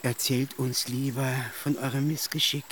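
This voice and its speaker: An actor in a radio play voicing a compassionate priest who speaks with gentle courtesy. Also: male voice, medium pitch, flat delivery, normal speed, a little hiss or hum, lightly acted. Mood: kindly.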